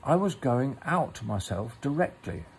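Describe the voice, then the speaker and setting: A man's voice: mid-range voice, sing-song, measured pace, vocal fry, husky, full character voice. A man voicing a polite, fussy, evasive storybook rabbit with a prim manner that can turn stern. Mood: politely evasive. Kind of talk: storybook character reading.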